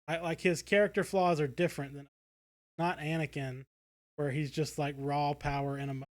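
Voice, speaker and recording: Male podcast co-host, slightly higher-pitched, casual and discursive, halting delivery. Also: fairly even intonation. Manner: rambling, halting, self-correcting.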